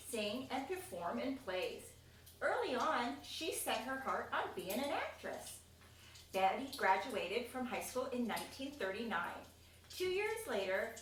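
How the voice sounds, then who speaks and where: A woman reading a children's picture book aloud, expressive and chatty, with casual asides about the pictures. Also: fairly high pitch. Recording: echoey room, distant mic, faint noise.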